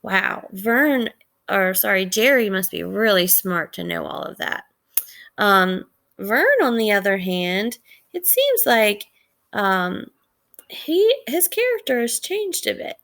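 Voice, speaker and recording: Slow-paced woman teacher reading aloud. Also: mid-range voice, expressive, creaky, a bit bright. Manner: measured, explanatory, pausing to question listeners. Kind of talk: read-aloud lesson with commentary and questions.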